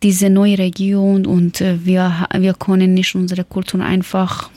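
A young woman from Herat with a Dari Persian accent, speaking German as a second language and telling about her languages and country in a halting way, with many fillers and self-corrections. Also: lowish voice, monotone, warm tone, dry recording. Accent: Afghan Persian (Dari). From Afghanistan, Herat. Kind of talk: casual personal account.

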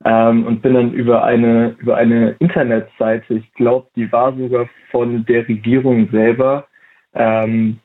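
A man's voice: medium pitch, little pitch movement, unhurried. A man of twenty answering interview questions in a casual, hesitant way, with many fillers and restarts. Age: 20s. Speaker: interview guest, volunteer at a museum. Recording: tinny phone-like line.

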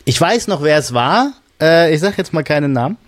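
A man's voice: on the high side, big pitch swings, a little fast. A man hosting a casual podcast conversation, speaking colloquially and candidly with a joking streak. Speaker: podcast host.

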